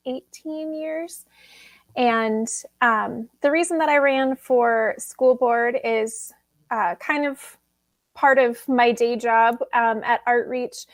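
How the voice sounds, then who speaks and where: A woman introducing herself as a new school board member, measured and thoughtful. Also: a little high, no echo. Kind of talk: self-introduction at a meeting.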